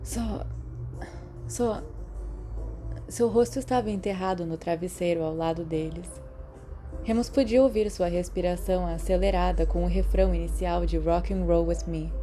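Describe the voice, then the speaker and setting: A woman with a Brazilian accent reading a story aloud as an audiobook narrator, in an expressive scripted style. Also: lowish voice, normal speed, soft background music.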